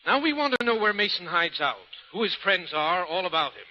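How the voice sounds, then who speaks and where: A man's voice: high-pitched, big pitch swings, a little fast, a little hiss or hum. An actor playing a stern, pressing male parole board official in a vintage radio drama. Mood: insistent. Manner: pressing, insistent interrogator. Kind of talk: 1940s radio acting. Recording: dull lo-fi old radio transcription.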